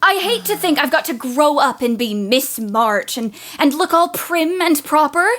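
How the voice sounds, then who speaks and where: A spirited teenage tomboy acted by a woman in a period radio play. Her voice is high and very animated, leaping around as she speaks bluntly, exclaims and teases with restless, boyish energy. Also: a little slow. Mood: indignant.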